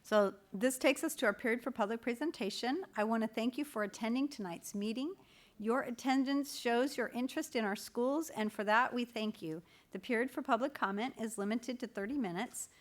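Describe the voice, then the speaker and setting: A woman chairing a school board meeting, reading formal procedure in a measured, official manner. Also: on the high side.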